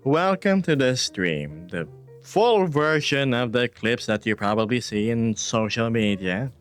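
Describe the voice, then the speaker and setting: A man hosting a casual live stream, reading viewer messages aloud in a playful, laid-back way with hushed asides and upbeat bursts. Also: medium pitch, lively intonation, measured pace, soft background music.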